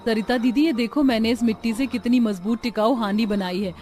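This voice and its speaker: A woman plays a young village bahu in a cartoon story. Her voice sits fairly high, and she speaks earnestly and respectfully, explaining things practically. Light music plays behind her. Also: fairly even intonation, fairly quick, a little mellow, natural voice. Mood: proud. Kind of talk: Hindi cartoon story dialogue.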